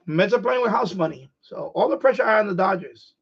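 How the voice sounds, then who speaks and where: A middle-aged man from New York with a New York accent, hoarse, chatting casually on his livestream and restarting his phrases as an eager sports fan. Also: high voice, expressive, a little fast. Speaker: livestream host. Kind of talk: casual rambling chat. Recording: dull lo-fi stream audio.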